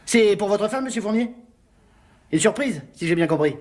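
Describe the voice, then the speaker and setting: A breezy deliveryman in a radio play. His voice is high, and he chatters on in an affable, familiar way, untroubled by anything. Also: animated, moderate pace, darkish tone. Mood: curious. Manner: chatty, familiar, frequent "hein" tags. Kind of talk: French radio drama acting.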